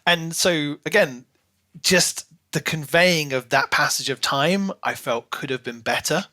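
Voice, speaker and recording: Male podcast co-host, slightly high pitch, sharp bright tone, animated casual book chat, acts out imagined lines. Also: unhurried.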